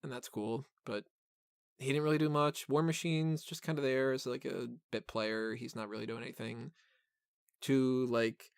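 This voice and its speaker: A man's voice: medium pitch, fairly even intonation, normal speed, gravelly, clear, resonant tone, no room sound, studio quality. A man hosting a podcast and talking casually about films in a rambling, informal, mildly profane fan style. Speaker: podcast host reviewing films. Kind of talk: casual film discussion.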